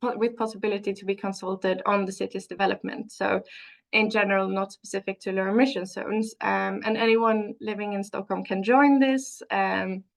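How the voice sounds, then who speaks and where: Woman on a video call going through slides, bit of fry, lots of stops and restarts. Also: medium pitch, fairly even intonation. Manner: halting, frequent restarts while walking through slides. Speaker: policy researcher on a webinar panel. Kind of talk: webinar slide presentation.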